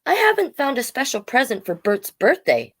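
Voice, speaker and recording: A woman reading the lines of an eager, excitable young male puppet in a children's storybook. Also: high-pitched, normal speed, pitched above the performer's own voice, heavily acted. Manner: bright-eyed eagerness, exclaiming.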